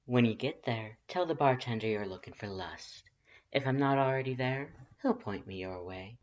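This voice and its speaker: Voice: medium pitch, lively intonation, normal speed, close to an everyday voice. A reader voicing a flirtatious stranger in a fan story, lilting and purring, almost sing-song. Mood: purring, flirty. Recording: dull lo-fi recording.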